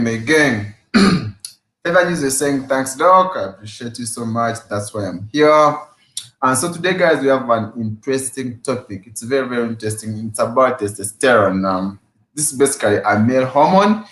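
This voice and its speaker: Guy doing a hype fitness livestream, shouts out viewers, echoey room, mid pitch. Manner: energetic, greets viewers by name, repeats phrases. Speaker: fitness live-stream host. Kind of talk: casual live-stream chat. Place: his room at home after work.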